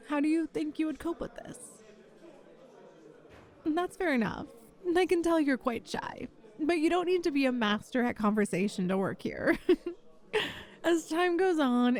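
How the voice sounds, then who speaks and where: A woman playing a kind, flirty female bar manager in an ASMR roleplay, gently reassuring and encouraging a nervous job applicant. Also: sits high. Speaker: voice actor in an audio roleplay. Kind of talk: ASMR roleplay, one-sided conversation.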